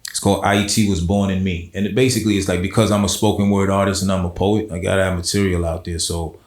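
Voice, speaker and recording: A man's voice: low voice, little pitch movement, fast talker, darkish tone, roomy sound. A man, a poet and spoken word artist, talking casually as an interview guest with a laid-back, rambling manner full of fillers. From South Florida.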